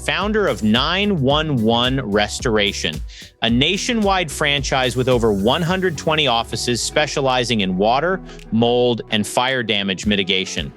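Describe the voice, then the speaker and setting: A man's voice: mid-range voice, animated, measured pace, fairly bright tone, soft background music. A man hosting a podcast, introducing and interviewing in a conversational presenter style. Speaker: podcast host.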